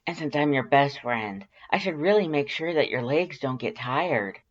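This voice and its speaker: Cocky teasing monster lady, as a storyteller puts her on, raised pitch, pushy, bit of a dull recording. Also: lively intonation, moderate pace, lightly acted. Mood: mocking, gleeful. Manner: mocking, pushy, emphatic. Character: brash, teasing female monster with webbed hands, smug.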